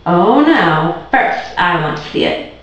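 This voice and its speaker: A woman's voice: fairly low pitch, very animated, takes it slowly, fairly bright tone, exaggerated voice. A woman voicing an eager, impatient young schoolboy in a children's storybook reading. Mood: insistent. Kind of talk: children's storybook read aloud. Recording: very echoey room, distant muffled mic.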